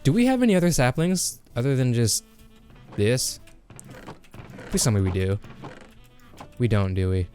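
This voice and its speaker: A young man hosting a casual gaming chat, excitable, swearing and saying "bro" a lot. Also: mid-range voice, normal speed, pauses often, soft background music. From Kansas. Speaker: podcast host.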